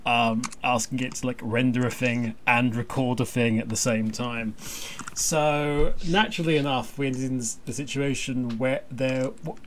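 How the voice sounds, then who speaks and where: Male podcast host, mid-pitched, self-deprecating and wry, casual chat over faint background noise. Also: fairly even intonation, normal speed.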